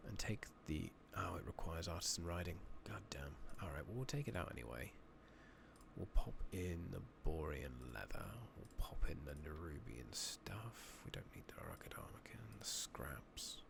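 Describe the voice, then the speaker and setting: A man giving soft-spoken, partly whispered ASMR commentary on a game, muttering and thinking out loud, recorded close on a makeshift mic. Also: sits low, very animated, moderate pace, vocal fry. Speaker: game streamer narrating a playthrough. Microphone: close makeshift microphone. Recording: noisy makeshift mic with clicking.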